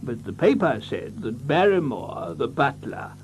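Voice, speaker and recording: A man playing a fussy, excitable country doctor in a radio drama, stammering and repeating himself as he dithers. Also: mid-range voice, a little slow, a little hiss or hum. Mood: agitated, earnest. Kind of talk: theatrical radio drama acting.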